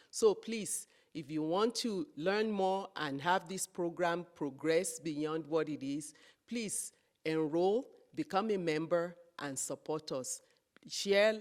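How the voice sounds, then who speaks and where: A woman hosting an interview, speaking in a measured way with frequent fillers. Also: deep voice. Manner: slow, measured, with many fillers. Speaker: host.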